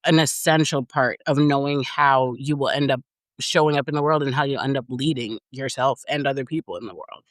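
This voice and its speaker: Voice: medium pitch, no room sound, clean studio sound. Reflective podcast co-host. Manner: reflective, measured, uses "like" and "mm-hmm" a lot. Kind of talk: casual conversation on leadership.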